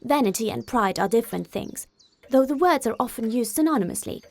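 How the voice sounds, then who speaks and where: A woman's voice: fairly high pitch, expressive, fairly quick, a little mellow. A woman voicing a bookish, self-important young woman in an audiobook, earnestly moralizing in a didactic way. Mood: pompous, lecturing. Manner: pompous, didactic. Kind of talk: audiobook character voice.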